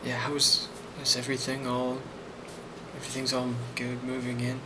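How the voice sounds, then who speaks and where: A man speaking softly in an ASMR role play as a boss, hesitant and reassuring, with frequent pauses. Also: medium pitch, completely flat delivery, very noisy. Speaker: ASMR role-player playing a boss giving a review.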